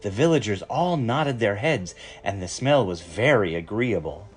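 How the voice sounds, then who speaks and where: Animated, unhurried male storyteller. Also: on the low side, breathy edge, faint music behind. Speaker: story reader. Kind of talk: expressive storybook narration.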